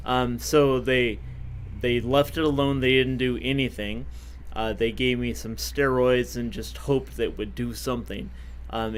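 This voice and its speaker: A man of fifty, a podcast guest, telling his own story in a casual, halting way with many fillers and self-corrections. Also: medium pitch, fairly bright tone, a little hiss or hum. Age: 50s.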